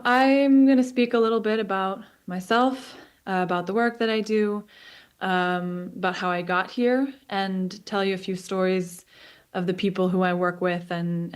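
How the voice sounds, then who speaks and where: A woman in her twenties, raised between Israel and the US, giving a personal talk as a guest speaker over a video call, pausing often with ums and restarts. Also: fairly low pitch, fairly even intonation, clear, resonant tone. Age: 20s. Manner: thoughtful, many ums and restarts. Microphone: remote video call.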